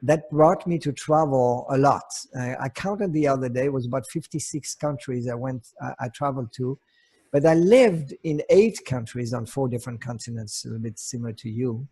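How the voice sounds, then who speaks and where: A man from Switzerland with a Swiss accent, co-hosting a conversational talk on coaching, searching for his words in a halting manner. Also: medium pitch, mellow, slightly rough. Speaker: co-host, relationship coach. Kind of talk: semi-prepared conversational presentation.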